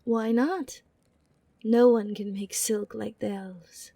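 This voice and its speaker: Woman voicing a polite Norse goddess, gentle, prim, airy voice, dry close sound, ASMR drama. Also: on the high side, fairly even intonation, slow pace, gravelly, breathy edge, dry recording, heavily acted. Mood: pleased. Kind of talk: ASMR roleplay audio drama.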